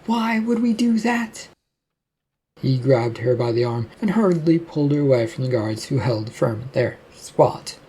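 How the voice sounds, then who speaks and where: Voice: medium pitch, moderate pace, few pauses, warm tone, a little hiss or hum. A narrator reading a scripted fiction story aloud, telling the action between lines of character dialogue.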